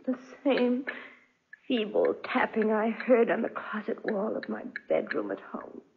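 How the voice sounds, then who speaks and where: An actress playing an anguished, terrified wife haunted by guilt in a melodramatic 1940s radio drama. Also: female voice, mid-range voice, wildly swooping pitch, a little slow, gravelly, a little hiss or hum, full character voice. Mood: haunted, despairing. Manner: melodramatic, pleading, breathless delivery. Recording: muffled, dull 1940s radio recording.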